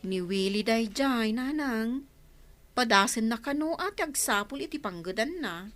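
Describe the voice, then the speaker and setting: A woman's voice: fairly high pitch, expressive, measured pace, fairly bright tone. A woman with a northern Philippine accent playing a kindly, encouraging elder sister in a village comedy radio drama. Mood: hopeful.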